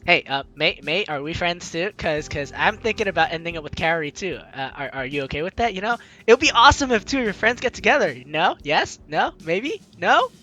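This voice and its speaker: Female let's-play host, bright lively voice, swings between reading aloud and chatty reactions, faint music behind. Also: fairly low pitch, a little fast, hardly any pauses. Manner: animated, chatty reactions to the story. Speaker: gaming channel host. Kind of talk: casual let's play commentary, reading game text aloud. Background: game music.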